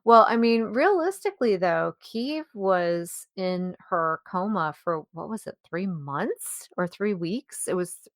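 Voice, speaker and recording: Lowish-voiced woman chatting on a podcast. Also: lively intonation, slow, few pauses, no echo, clean studio sound. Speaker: podcast co-host. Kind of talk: casual discussion of a story.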